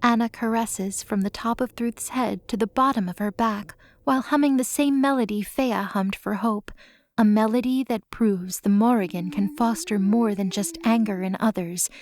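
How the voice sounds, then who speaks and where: A woman's voice: mid-range voice, moderate pace. A woman narrating scripted dramatic audio fiction, reading as a storyteller.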